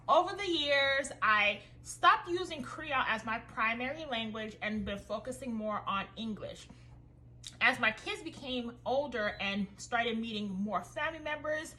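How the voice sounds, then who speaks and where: Friendly haitian-born mom reviewing school books at home, french first language, kinda high clear bright voice. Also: female voice, slight background noise, rough recording. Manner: upbeat, enthusiastic, friendly. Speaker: homeschooling mother and vlogger. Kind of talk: casual curriculum review vlog.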